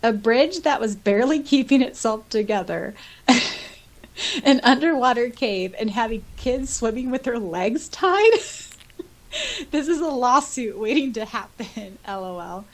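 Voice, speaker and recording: A woman's voice: on the high side, animated, a little slow. A woman co-hosting a podcast in a casual chat, reading out listener comments and reacting with giggly enthusiasm. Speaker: podcast co-host.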